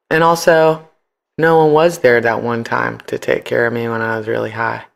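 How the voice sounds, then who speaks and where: An American podcast guest telling stories casually and colloquially, animatedly acting out what people said. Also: mid-range voice. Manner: animated, colloquial, acts out quoted speech. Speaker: podcast guest, founder of a ride service. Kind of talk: casual storytelling in an interview.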